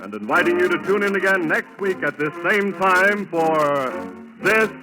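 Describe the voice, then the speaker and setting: A polished male radio announcer of the 1940s with an American accent speaks formally. His voice is a little higher than most men's, and music plays clearly behind him on an old broadcast recording. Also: lively intonation, moderate pace, rough recording.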